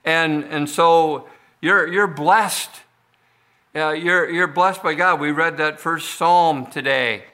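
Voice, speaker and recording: A man preaching a sermon, slowly explaining a Bible passage verse by verse with frequent restarts. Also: a little high, pushed and bright. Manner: slow, deliberate expository preaching with frequent restarts. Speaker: pastor.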